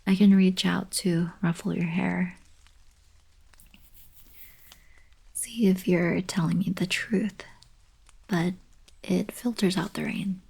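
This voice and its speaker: A woman playing a playful, teasing young alien princess girlfriend in an intimate ASMR roleplay, speaking softly and breathily. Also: lowish voice, exaggerated voice. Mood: soft, wistful. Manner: soft, breathy, casual teasing delivery. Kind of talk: intimate ASMR roleplay, scripted monologue to the listener.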